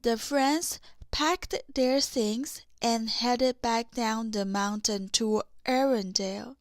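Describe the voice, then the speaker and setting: A woman reads a children's story aloud as an audiobook narrator. Her voice is high and she takes the text very slowly, with a dry sound free of room echo. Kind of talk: slow scripted children's story read-aloud.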